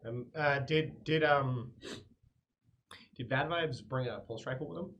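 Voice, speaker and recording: A man's voice: medium pitch, unhurried, a bit bright. Muffled man chatting at game table. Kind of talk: casual table chat.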